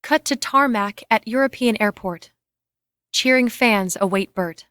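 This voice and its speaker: A woman's voice: fairly high pitch, a little slow, fairly bright tone, clear, resonant tone, dry recording, studio quality. A woman reading scene and camera directions as scripted narration.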